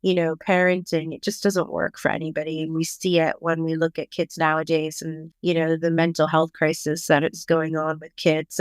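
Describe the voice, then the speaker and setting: Reflective low-voiced woman parenting coach. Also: smooth, no room sound. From Bangladesh. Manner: reflective, measured, thoughtful explaining. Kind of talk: podcast interview.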